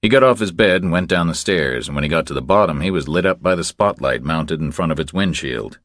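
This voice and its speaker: Male audiobook narrator, extremely low pitch, measured, terse, crime thriller, dry close sound. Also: a bit bright, no room sound.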